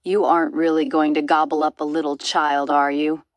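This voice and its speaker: A woman's voice: sits low, little pitch movement, moderate pace, dry recording, close to an everyday voice. A woman voicing a friendly, naive monkey in a storybook, earnest and easily horrified.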